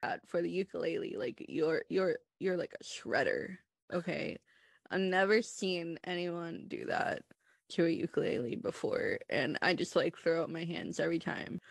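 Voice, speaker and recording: A woman's voice: lowish voice, lively intonation, normal speed, vocal fry, breathy edge, no echo, clean studio sound. A woman hosting a podcast, chatting informally and admiringly with a guest. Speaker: podcast host. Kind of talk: casual interview chat.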